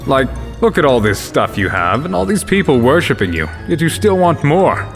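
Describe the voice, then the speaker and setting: A man's voice: on the high side, sing-song, normal speed, a bit bright. A man playing a laid-back, scruffy adventurer in a fantasy audio drama, casual and cheeky. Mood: pitying candour. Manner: casual, blithely friendly, slangy. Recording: music behind the voice.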